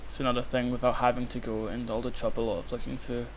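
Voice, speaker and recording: Young guy, croaky sick voice, flat delivery, cheap mic with a bit of hiss. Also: mid-range voice, fast, poor audio. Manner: rambling, many ums. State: hoarse from illness. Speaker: tutorial maker. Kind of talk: screen-recorded software tutorial. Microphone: cheap microphone.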